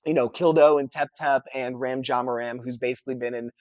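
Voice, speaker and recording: A man's voice: medium pitch, brisk, no echo, highs cut off. A man with a congested, nasal voice casually reviewing books he read, chatty with lots of fillers. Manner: relaxed, chatty, filler-heavy with restarts. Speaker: book reviewer. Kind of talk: casual book review vlog.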